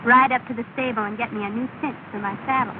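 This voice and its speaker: A woman plays a gracious young woman with a high, lightly teasing voice and polished manners. The sound is thin and tinny with steady hiss, as on a 1930 film reel. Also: fast, natural voice. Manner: bright, polite, lightly teasing.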